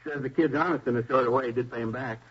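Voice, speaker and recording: A husky police sergeant in an old radio drama. He talks in a wry, offhand, conversational way at a middle pitch, on a dull vintage recording. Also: steady tone, rapid-fire. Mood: wry, anecdotal. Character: chatty male police sergeant swapping station-house stories. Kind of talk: 1950s radio drama, naturalistic dialogue.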